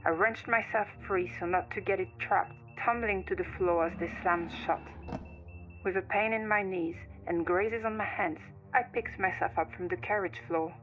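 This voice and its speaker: A woman's voice: mid-range voice, dull audio. A woman reads a scripted first-person horror story as its narrator, like someone recounting a chilling tale. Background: music.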